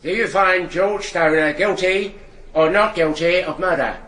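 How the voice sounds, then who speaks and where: A man's voice: high voice, a little slow, fairly bright tone. An actor playing a formal male court clerk in a radio drama. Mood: solemn. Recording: echoey courtroom, slightly distant.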